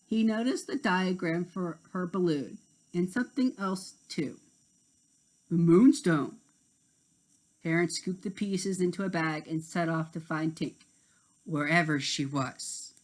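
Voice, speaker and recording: A woman narrating a scripted children's story deliberately, with dramatic pauses. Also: lowish voice, steady tone, rough recording. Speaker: storybook reader.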